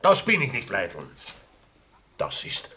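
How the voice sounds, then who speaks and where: A cornered, apologetic innkeeper in a radio play. The voice is deep and husky, with an earthy southern German accent and a flustered manner. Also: male voice, huge pitch swings, unhurried, sharp tone, full character voice. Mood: flustered, defensive. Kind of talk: dialect radio play acting.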